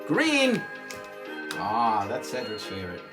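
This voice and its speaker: A man's voice: lowish voice, wildly swooping pitch, slow, music in the background. A man hosting a children's storytime show, playful and encouraging, with a singsong way of talking. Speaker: children's storytime host and singer. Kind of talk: children's show presenting and singing.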